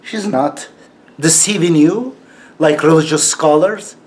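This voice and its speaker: A man speaks in a heavy Turkish accent, his English broken and his tone mocking. His voice sits a little high for a man, and he talks slowly and deliberately, with scornful laughs between his points. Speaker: YouTube commentator. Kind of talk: casual monologue to camera.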